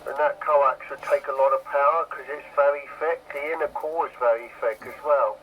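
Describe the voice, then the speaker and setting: A man's voice: medium pitch, steady tone, a little fast. An agreeable man chatting casually over CB radio, often repeating himself. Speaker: amateur CB radio operator. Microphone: CB radio set. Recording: dull, lightly hissy CB radio.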